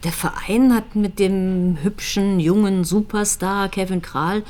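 An actress playing a composed police detective in a naturalistic crime drama, probing and measured in conversation. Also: female voice, mid-range voice, steady tone, a little mellow, natural voice. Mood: wry, speculating.

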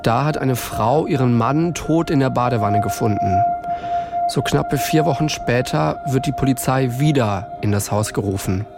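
A man's voice: medium pitch, moderate pace. A man hosting a true-crime podcast, telling a case as scripted narration. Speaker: podcast host. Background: music bed.

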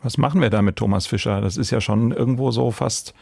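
A man's voice: medium pitch, quick pace, vocal fry, dark tone, husky, dry recording, studio quality. A man hosting a true crime podcast in a conversational discussion style. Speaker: podcast host.

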